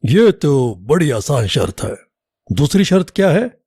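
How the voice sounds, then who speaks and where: Guy doing a cartoon storybook king, commanding n sly, soft dark husky voice, really animated. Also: on the high side, measured pace, no echo, studio quality, heavily acted. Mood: curious. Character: male king, approving yet slyly testing his minister. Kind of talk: animated children's moral story dialogue.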